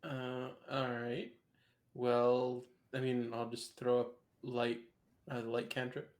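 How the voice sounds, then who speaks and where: A man's voice: mid-range voice, little pitch movement, slow. A man playing a tabletop game, thinking aloud about what his character does. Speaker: player.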